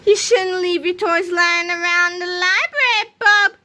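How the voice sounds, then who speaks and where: Woman playing brash, high-pitched schoolboy George. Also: sing-song, brisk, pushed and bright, exaggerated voice. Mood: sly, brash. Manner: brash, teasing. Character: George, bossy, sly, confident schoolboy.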